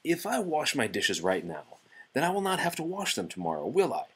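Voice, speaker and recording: A man voicing a gloomy, lazy toad in a children's storybook, complaining in a drawn-out, exaggerated way. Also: medium pitch, wildly swooping pitch, fairly bright tone, slightly rough, voice pushed up, full character voice. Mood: reasoning, hopeful.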